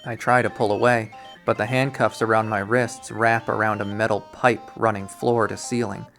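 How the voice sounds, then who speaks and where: A man's voice: lowish voice, level tone, moderate pace, creaky, fairly bright tone, soft background music. A man reading a visual novel aloud as dramatic first-person story narration.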